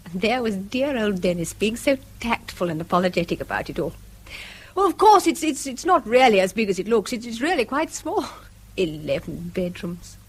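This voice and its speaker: An actress playing a weary, self-deprecating middle-aged English wife in a radio drama, with a dry, exclamatory delivery. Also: female voice, mid-range voice, slightly rough. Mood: cautious, mocking. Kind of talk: British radio drama acting.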